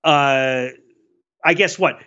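A man co-hosts a podcast in a casual, analytical chat, often pausing and restarting as he works through a thought. His voice sits a little high and sounds bright and forward. The audio is a little dull and lo-fi. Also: clear, resonant tone. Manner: thoughtful, many fillers and restarts. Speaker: podcast co-host.

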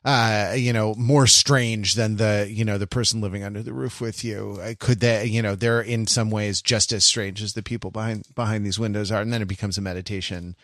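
A man's voice: lowish voice, fairly even intonation, a little fast, few pauses, fairly bright tone, dry recording, clean studio sound. A man co-hosting a podcast in casual intellectual chat, discursive and hesitant, often repeating and restarting phrases, with an erudite vocabulary. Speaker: podcast co-host.

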